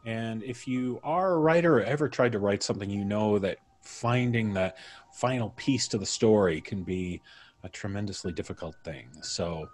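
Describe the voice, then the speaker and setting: A man's voice: fairly low pitch, normal speed, faint music behind. A man hosting a live show, chatting casually and introducing a story with a theatrical storyteller's delivery. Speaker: podcast host.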